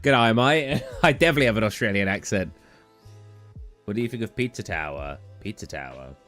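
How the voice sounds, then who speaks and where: A man's voice: lowish voice, animated, a little fast, fairly bright tone, faint music behind. A young man from England, chatting casually on a live stream and answering chat questions in a tired, rambling way. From southern England. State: tired, low energy.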